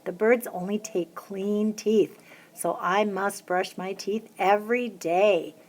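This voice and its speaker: A woman reading a children's picture book aloud, lifting her voice high and bright for the little chants. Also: steady tone, slow pace. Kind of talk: storybook read-aloud.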